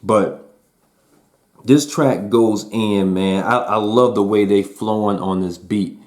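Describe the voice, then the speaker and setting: A man's voice: lowish voice, fairly even intonation, takes it slowly, a little mellow. A man giving a casual spoken music review in a laid-back, slangy way, peppering his talk with "man" and "um". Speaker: album reviewer.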